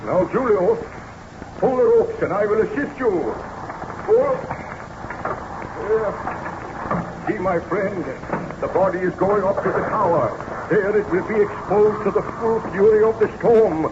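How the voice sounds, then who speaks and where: An actor in an old-time radio drama playing an obsessed, ambitious young scientist, speaking in formal, theatrical, grandiose phrases. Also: male voice, high voice, expressive, measured pace. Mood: urgent, excited. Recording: muffled, noisy vintage radio recording.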